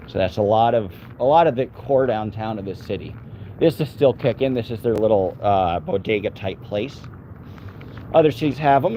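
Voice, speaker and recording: A man's voice: mid-range voice, a little mellow, slight background noise. Rambling man streaming from city streets. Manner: rambling, talks to his dog and chat. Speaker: vlogger walking his dog. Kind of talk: casual live-stream chat.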